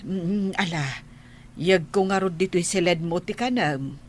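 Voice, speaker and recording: A woman's voice: fairly low pitch, a little slow, a little hiss or hum, lightly acted. A woman playing a worried, nagging village mother in a radio drama, hesitant and pleading. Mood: worried, coaxing. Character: worried, nagging village mother fretting over her child. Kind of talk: Ilocano radio drama acting.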